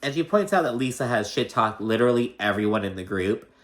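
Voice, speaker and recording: A man's voice: mid-range voice, animated, brisk. A man with a slight lisp giving casual, gossipy, sweary recap commentary, acting out quoted dialogue as he goes. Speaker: host of a reality TV recap show.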